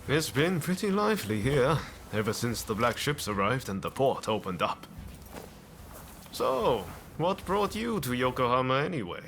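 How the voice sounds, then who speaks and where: An actor playing a friendly, roguish young samurai in a video game, with a breezy, teasing manner. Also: male voice, medium pitch, very animated, moderate pace, a bit bright, slight background noise. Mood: friendly, enthusiastic. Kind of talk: video game cutscene acting.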